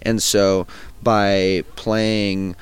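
A man's voice: on the low side, level tone, very slow, smooth. A young man who sings in a punk band, answering interview questions in a rambling, casual way with lots of fillers. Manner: rambling, many fillers and restarts. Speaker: lead vocalist and guitarist of a punk band.

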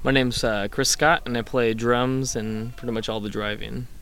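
A man's voice: mid-range voice, steady tone, moderate pace, fairly bright tone, smooth. A man who drums in a punk band, answering interview questions in an easygoing, friendly way.

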